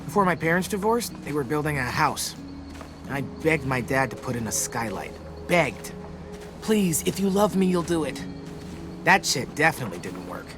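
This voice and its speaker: Casting: wisecracking American teen boy, lighter high register, theatrical, game dialogue over music. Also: normal speed. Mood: wistful. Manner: animated, playfully theatrical. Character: wisecracking, dramatic teenage boy survivor, tender underneath. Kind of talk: video game voice acting, casual dialogue. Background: background music.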